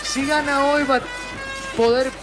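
A high-voiced man hosts a live radio football broadcast in a lively, bantering manner. Music sits clearly under his voice. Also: unhurried. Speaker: radio play-by-play announcer and show host.

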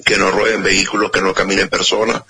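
A middle-aged man, a rescue volunteer giving an earnest, halting account full of fillers and repeated words. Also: sits low, level tone, sharp tone, husky. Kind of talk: phone-in interview testimony.

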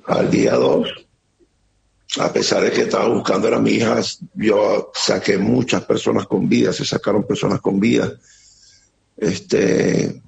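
A middle-aged man with a Venezuelan accent giving an earnest, halting account as an interviewee, often repeating words. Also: fairly low pitch, gravelly. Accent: Venezuelan Spanish. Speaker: interviewee, security operator. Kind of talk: emotional testimony on a remote interview. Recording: muffled lo-fi line with faint noise.

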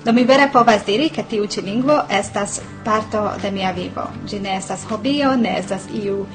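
Woman, clear measured delivery, prepared statement, played clip, background music. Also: mid-range voice, poor audio. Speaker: clip speaker.

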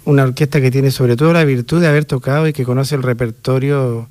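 Thoughtful Chilean conductor, dry sound. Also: male voice, medium pitch, steady tone, fast, a little mellow, dry recording. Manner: thoughtful, hesitant with many fillers. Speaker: orchestra conductor. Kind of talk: radio interview guest.

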